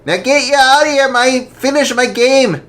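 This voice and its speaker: A storyteller voicing a gruff, hard-drinking man who scoffs and blusters, pitched far above their own voice. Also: slow, a bit bright, exaggerated voice. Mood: scornful. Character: gruff hard-drinking older male bar patron.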